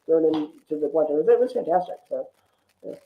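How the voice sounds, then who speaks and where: A man's voice: on the high side, expressive, a little fast, narrow, tinny audio. A man talking casually and opinionatedly about films as a podcast guest. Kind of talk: casual film chat.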